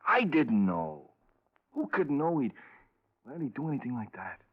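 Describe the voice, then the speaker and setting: An actor playing a guilt-ridden small-time peddler in a 1950s radio crime drama, speaking haltingly and repeating himself. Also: male voice, medium pitch, very animated, husky. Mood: remorseful, halting. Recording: dull lo-fi old radio broadcast.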